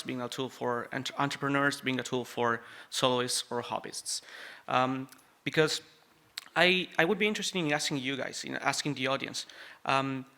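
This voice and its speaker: A man's voice: medium pitch, sharp tone. A young man from Uruguay with a Uruguayan Spanish accent, a native Spanish speaker, giving a chatty conference talk full of fillers and restarts. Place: conference venue with audience.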